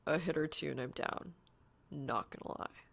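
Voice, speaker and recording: A woman's voice: deep voice, normal speed, creaky, fairly bright tone, heavily acted. A woman voicing an awkward, sardonic young man gamer in an audiobook, dry and self-deprecating. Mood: dry, guarded. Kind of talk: audiobook character dialogue.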